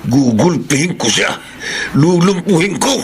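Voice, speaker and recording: A man's voice: fairly high pitch, lively intonation, unhurried, a little hiss or hum. An actor playing a vengeful thief stepfather in a radio drama, snarling threats. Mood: scheming.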